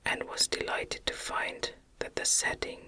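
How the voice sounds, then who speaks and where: A woman narrates a literary audiobook entirely in a soft whisper. Her delivery is gentle and very unhurried, in an ASMR style. The whisper is breathy, with a very bright, sharp edge. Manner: gentle, measured reading.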